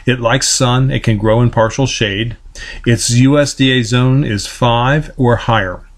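A man narrating a voice-over, reading plant descriptions from a script in an even manner. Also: medium pitch, unhurried. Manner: even, read-aloud delivery.

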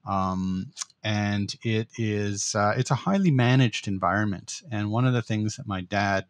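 A middle-aged man, born in the Netherlands, co-hosting a podcast as a professor, speaking thoughtfully with many pauses and restarts. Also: fairly low pitch, no echo. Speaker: podcast co-host, political science professor. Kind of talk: casual conversational podcast.

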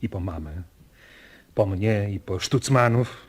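An actor playing a grave but gentle father in a radio drama, with a husky voice. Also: lowish voice, a little slow, natural voice. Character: grave, gentle father guarding a family secret. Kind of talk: Polish radio drama acting.

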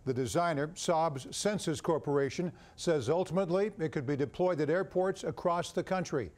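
A man's voice: fairly high pitch, normal speed, darkish tone. A man in his fifties with an American accent, reading scripted news as a studio anchor. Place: indoor TV studio.

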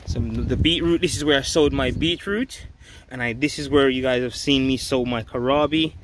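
A man's voice: medium pitch, moderate pace. A man in his thirties giving a casual, enthusiastic update to viewers, speaking emphatically into a handheld phone outdoors. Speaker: vlog host, allotment gardener. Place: outdoors on an allotment garden. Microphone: handheld phone at close range. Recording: outdoor ambient and traffic noise. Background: outdoor ambience, vehicle noise.

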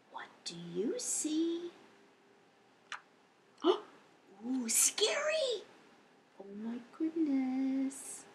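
A woman in her fifties hosting a storytime for young children as a librarian, reading aloud in an exaggerated, expressive way. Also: high voice, a little hiss or hum. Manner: animated, exaggerated, with audible gasps and hushed whispers. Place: indoor lounge, seated on a couch.

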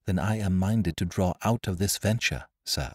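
A man's voice: low-pitched, normal speed, a little mellow, slightly rough, dry recording, clean studio sound. A man voicing a spirited, earnest young woman who speaks with heat. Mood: indignant. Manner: earnest, heated.

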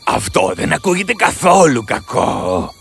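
A man voicing a big, proud, hungry tiger in a children's fairy-tale cartoon, gravelly and threatening. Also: fairly high pitch, very animated, full character voice. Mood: grudging. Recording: loud music behind the voice.

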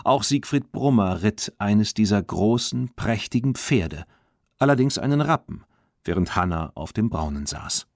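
A man reading a children's audiobook as narrator in an expressive storytelling manner. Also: on the low side, a little slow, creaky, no echo, studio quality.

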